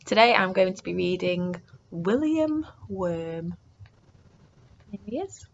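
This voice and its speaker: A woman with a fairly low voice reads a children's story at a very slow, deliberate pace. She is expressive, dropping into suspenseful hushes and lifting into excitement. The recording is slightly dull and homemade. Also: vocal fry. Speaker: storyteller reading a picture book to children. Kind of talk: animated read-aloud for young children.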